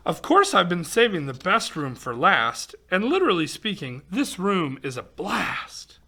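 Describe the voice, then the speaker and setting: A man's voice: high voice, sing-song, unhurried, vocal fry, pushed and bright. A man reading a rhyming picture book aloud to children, expressively, with exclamations and chatty asides. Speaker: volunteer storybook reader for children.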